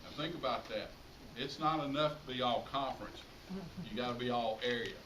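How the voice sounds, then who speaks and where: A man's voice: medium pitch, a little fast. A middle-aged American man giving an informal tribute speech to an audience, speaking emphatically and repeating phrases for effect. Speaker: high school public address announcer giving a tribute speech. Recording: roomy, distant mic, clearly noisy ambience.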